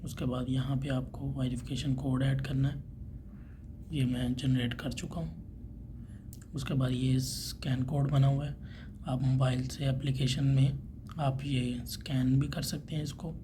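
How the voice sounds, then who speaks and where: Male tutorial presenter, medium pitch, informal step-by-step delivery, noticeably noisy recording. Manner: informal, talking through each step while doing it. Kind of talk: step-by-step tech tutorial narration. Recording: clearly noisy background ambience.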